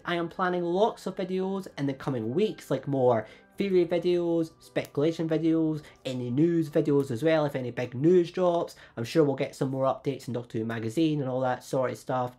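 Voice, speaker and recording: A man hosting his own channel, talking through fan theories in an upbeat, chatty way while he has a cold. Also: dark tone. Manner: upbeat, chatty, often rising in pitch. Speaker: YouTube channel host. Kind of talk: fan theory video commentary.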